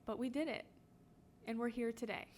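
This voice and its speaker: A woman's voice: on the high side, fairly even intonation, moderate pace, a little mellow, slight background noise. Young woman co-host, upbeat and dramatic. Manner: upbeat, dramatic show-opening delivery. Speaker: podcast co-host. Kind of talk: casual chat with scripted intro.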